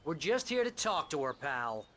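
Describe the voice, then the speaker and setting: A man's voice: a little high, very animated, moderate pace. A brash, chatty sidekick in a mystery game. He has a gossipy way of explaining things, with faint ambient noise behind. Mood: eager, brash. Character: talkative, brash male best friend and sidekick.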